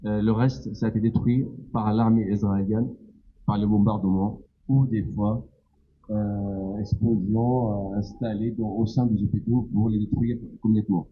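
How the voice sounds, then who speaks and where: Halting, low-voiced older man from Palestine. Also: steady tone, phone-quality sound, slight background noise. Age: middle-aged or older. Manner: halting, searching for words. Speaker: guest speaker, doctor. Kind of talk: unscripted public talk.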